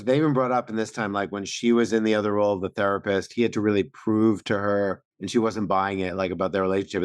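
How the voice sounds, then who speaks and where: A man's voice: on the low side, no room sound, studio quality. A man co-hosting a casual podcast recap, explaining things in an informal, slangy way. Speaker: podcast co-host.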